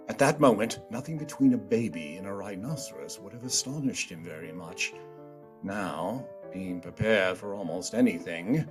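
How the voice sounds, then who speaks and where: Slow, dramatic male narrator with swooping delivery. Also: fairly low pitch. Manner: theatrical, deliberate phrasing. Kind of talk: dramatic storybook narration for radio play. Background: music.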